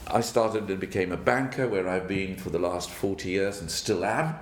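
Older south african guy, lowish voice, thinks out loud with little laughs, roomy sound w faint hum. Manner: thoughtful, halting, with chuckles. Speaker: guest, banker. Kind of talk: interview storytelling.

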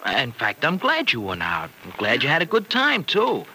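A man's voice: medium pitch, wildly swooping pitch, normal speed, bright, forceful tone, heavily acted. An actor playing a breezy, good-hearted young man in an old-time radio drama, with a slangy, emphatic, boyish delivery. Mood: earnest, reassuring. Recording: noisy old radio recording.

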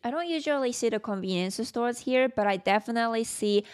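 A woman's voice: on the high side, lively intonation, brisk, darkish tone, clean tone. Japanese woman, casual explanatory podcast talk. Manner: conversational, explanatory. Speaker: podcast host.

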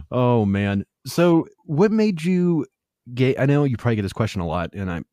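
A man's voice: medium pitch, very animated, measured pace, dark tone, no room sound, clean studio sound. A man in his thirties interviewing a guest in a casual, friendly way, stumbling and repeating his words as he talks. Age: 30s. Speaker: youtube channel host, interviewer.

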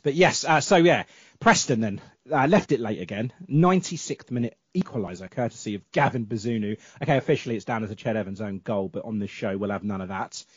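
A man's voice: on the low side, expressive, quick pace, breathy edge, no echo, lo-fi sound. A man hosting a casual football podcast, chatty and full of fillers, with a sore throat and cough. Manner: chatty, filler-heavy, self-correcting. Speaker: podcast host.

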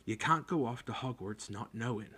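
A man reading an audiobook, voicing a big rough-spoken groundskeeper who talks in homely, clipped speech. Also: mid-range voice, moderate pace, sharp tone. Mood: worried storytelling. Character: big rough-spoken male groundskeeper, gruff but kind. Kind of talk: audiobook character voice.

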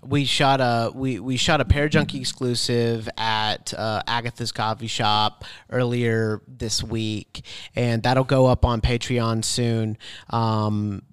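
Guy hosting a podcast, smooth clear voice, super informal, close dry sound. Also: mid-range voice, flat delivery, takes it slowly, no room sound. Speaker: podcast host. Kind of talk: casual chat, reading listener questions.

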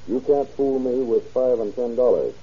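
An actor playing a dutiful, deferential deputy, reading deliberately in an old radio crime drama. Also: male voice, medium pitch, dark tone, husky, close to an everyday voice. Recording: dull, muffled old radio recording.

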